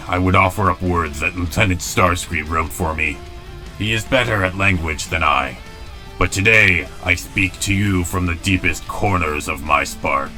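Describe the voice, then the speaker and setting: A very low-voiced, weary robot leader played by a man speaks with solemn, principled gravity. His lines are formal and dramatic, in the manner of an animated comic dub, with music clearly playing underneath. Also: exaggerated voice. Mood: solemn oratory.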